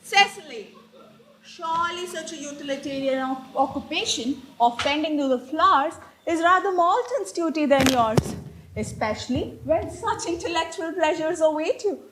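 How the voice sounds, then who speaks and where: A woman's voice: high-pitched, lively intonation, moderate pace, noisy background. A woman playing a strict, prim Victorian governess in a stage comedy, pompous and given to moralizing. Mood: stern, instructing. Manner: stern, pompous, declamatory. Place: live stage play.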